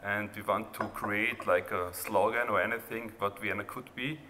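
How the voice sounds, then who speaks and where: A man with an Austrian accent, a native German speaker, giving an informal, halting presentation of his own art project. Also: on the low side, steady tone, pushed and bright. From rural Austria near the Hungarian border. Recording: reverberant hall, background noise.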